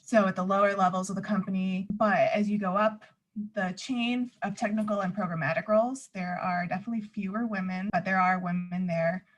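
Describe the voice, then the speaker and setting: A woman on a panel who works in engineering at a defense contractor, speaking clearly and steadily. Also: medium pitch, poor audio. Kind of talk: panel discussion.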